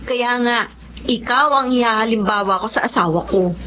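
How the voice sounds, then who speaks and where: Strong-willed Filipina mother, highish voice. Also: moderate pace, bright, forceful tone, a little hiss or hum. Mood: friendly. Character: practical, strong-willed working young mother. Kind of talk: Filipino radio drama acting. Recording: dull lo-fi audio with clear crowd chatter.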